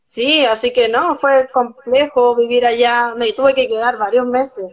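A woman's voice: on the high side, fairly even intonation. Young Chilean woman on a lo-fi call. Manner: earnest, talks fast with many restarts. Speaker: guest, documentary photographer. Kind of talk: casual live-stream interview. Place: small room at home, indoors. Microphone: phone camera on a video call.

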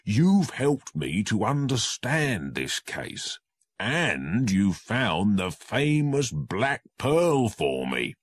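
Man doing an earnest police inspector, thankful, slow, husky, dry. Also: on the low side, sing-song, dry recording, voice pushed up, exaggerated voice. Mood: grateful. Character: grateful male police inspector.

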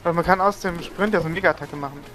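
A man's voice: a little high, rapid-fire, over music. A young man chatting with friends over a game, telling long rambling stories about his bad luck in the match. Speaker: co-player. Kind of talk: casual multiplayer gaming chat.

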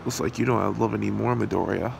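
A man voicing a possessive, smug demon kidnapper in an amateur ASMR roleplay, taunting and unhurried. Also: lowish voice, creaky. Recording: steady hiss and hum behind voice.

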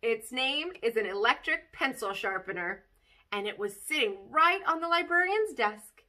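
A woman voicing a silly, excitable, mischievous little girl telling her story from a children's storybook, in a dramatic, bubbly manner. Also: fairly high pitch, moderate pace. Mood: excited. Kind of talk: expressive children's storybook read-aloud.

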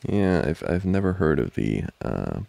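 A man playing an amorous, giggly student boyfriend in an intimate ASMR roleplay, talking haltingly and teasingly close to the mic. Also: low voice, vocal fry, no room sound, heavily acted. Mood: flustered, amused. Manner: halting, soft-spoken, chuckling, teasing. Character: amorous, giggly young male student boyfriend trying to study. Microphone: close microphone, dry.

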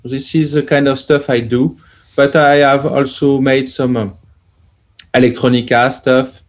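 A man, a plug-in developer guest, answering casually with many pauses as he searches for words over a video call. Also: medium pitch, little pitch movement. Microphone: headset on video call.

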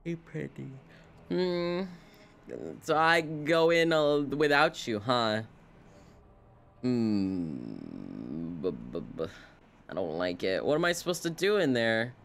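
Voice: mid-range voice, sing-song, slow, creaky, long pauses. A game streamer giving casual, playful live reactions, chatting and muttering to themselves while reading game lines aloud.

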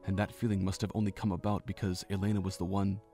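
A man narrating a fan audio drama in an even, measured reading voice. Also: low-pitched. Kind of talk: scripted story narration. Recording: home narration with faint music.

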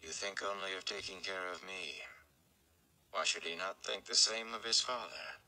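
A man voicing a calm, tired, commanding sorceress in a storybook reading, measured and in control. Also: low-pitched, gravelly, clean tone, full character voice.

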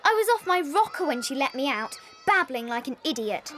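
A woman's voice: high-pitched, sing-song, brisk, pushed and bright, exaggerated voice. An actress playing a tough older British schoolgirl in a dramatized children's audiobook, scaring newcomers with knowing, ghoulish relish.

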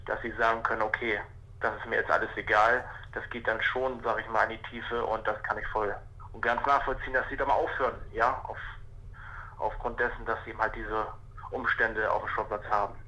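Bright, forceful man on phone. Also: on the low side, level tone, fairly quick. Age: 30s. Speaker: football referee, interview guest. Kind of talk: spontaneous phone interview. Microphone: telephone. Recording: telephone line.

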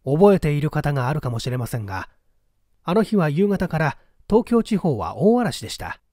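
A man reading a mystery story aloud as literary narration, in a measured, polite storytelling manner. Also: on the high side, big pitch swings, darkish tone, dry recording, studio quality. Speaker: audiobook reader.

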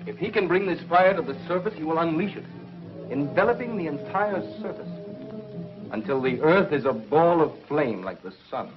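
An actor playing a grave, urgent scientist hero in a 1950s TV sci-fi serial, with a solemn, declamatory delivery. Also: male voice, a little high, animated, normal speed, close to an everyday voice. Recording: muffled 1950s TV soundtrack with music.

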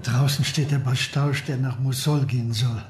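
A man's voice: mid-range voice, steady tone, moderate pace, faint music behind, natural voice. An actor playing a grudging, scheming Ottoman official in a radio drama, evasive, with hesitant grunts. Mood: wary, oily. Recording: roomy sound with clear background noise.

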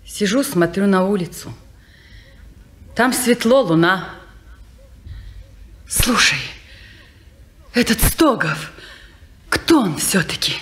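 Slow-speaking earnest young woman. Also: mid-range voice, animated, a bit bright. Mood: pensive. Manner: questioning, emotionally insistent. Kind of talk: 1950s Soviet radio play acting.

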